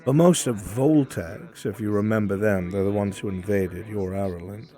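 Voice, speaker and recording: A man playing a solemn, philosophical imperial in a fantasy roleplay, speaking in a measured, brooding way with deliberate pauses. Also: lowish voice, warm tone, natural voice. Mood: grave, brooding. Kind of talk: improvised fantasy roleplay acting. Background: background music.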